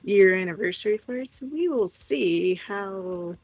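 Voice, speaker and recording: A man co-hosting a livestream, telling personal stories casually in a candid, halting way, with a husky, creaky voice. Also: high voice, expressive, faint music behind, poor audio. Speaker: livestream co-host.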